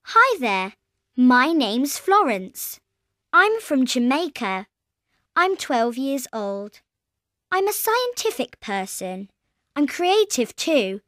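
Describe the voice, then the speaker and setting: A bright twelve-year-old girl. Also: sits high, big pitch swings, dry recording, studio quality, heavily acted. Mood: friendly. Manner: slow, clear, careful delivery. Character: creative twelve-year-old girl who loves food. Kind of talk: scripted coursebook audio. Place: recording studio.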